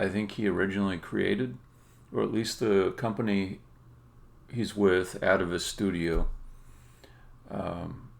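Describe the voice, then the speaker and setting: Low-pitched, gravelly man thinking aloud. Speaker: indie game developer, streamer. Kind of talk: casual live-stream demo talk.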